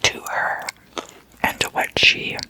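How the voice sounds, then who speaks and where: Slow, breathy ASMR whisperer. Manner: slow, hushed, casual and conversational. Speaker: host of an ASMR roleplay series. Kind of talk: whispered ASMR, chatty sleepover roleplay.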